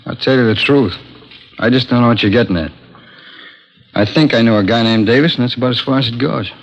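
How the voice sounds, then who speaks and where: A man's voice: mid-range voice, quick pace, lightly acted. A man playing an evasive, hot-tempered American longshoreman under questioning, slangy and defensive, in 1950s radio acting style. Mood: stonewalling. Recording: muffled, noisy old radio recording with clicks.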